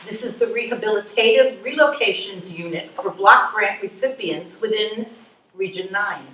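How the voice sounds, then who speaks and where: An officious government functionary, played by a woman on stage, formal and impersonal, at a middle pitch. The voice comes from across an echoey hall. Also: moderate pace, fairly bright tone, lightly acted. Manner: formal, jargon-laden, impersonal. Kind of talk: readers theatre stage performance. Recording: echoey hall, distant microphone.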